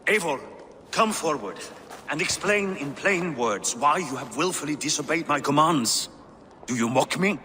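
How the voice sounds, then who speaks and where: A man's voice: fairly high pitch, lively intonation, normal speed, sharp tone, slight background noise. A stern old Viking king. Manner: commanding, lecturing, stern. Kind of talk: video game cutscene acting.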